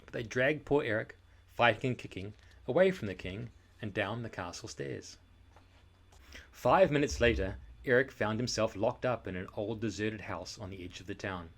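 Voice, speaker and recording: A man reading a children's story aloud in a dramatic, expressive and deliberate narration style. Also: on the low side. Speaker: storybook reader.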